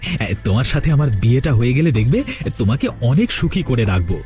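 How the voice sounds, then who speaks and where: A man voicing a sly young brother-in-law in a cartoon story, flattering and eager to please as he schemes. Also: mid-range voice, quick pace, over music, lightly acted. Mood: eager scheming. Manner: ingratiating, wheedling. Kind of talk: animated cartoon story dialogue.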